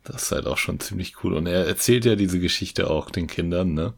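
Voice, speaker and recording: A man with a very deep voice talks in a relaxed, agreeable way about a film. His voice has a gravelly rumble. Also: brisk. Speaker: podcast co-host. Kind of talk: casual film discussion.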